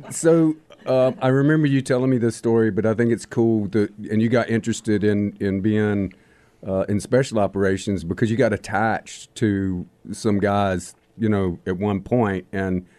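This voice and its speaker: A man hosting a casual interview, relaxed and quick to laugh, with halting fillers. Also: on the low side. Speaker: podcast host.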